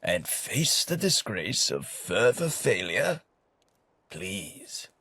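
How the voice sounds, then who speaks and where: An actor playing a genteel, long-winded British gentleman adventurer in a video game, pompous and rambling, with old-fashioned politeness and a husky voice. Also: fairly low pitch, sing-song, drawn-out words, no echo, exaggerated voice. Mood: weary, disdainful. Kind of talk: theatrical video game companion dialogue.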